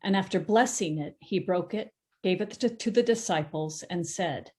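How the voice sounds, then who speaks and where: A woman reading the narration of a gospel passage in a church passion play, measured and even. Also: lowish voice. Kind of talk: scripture narration. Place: church sanctuary.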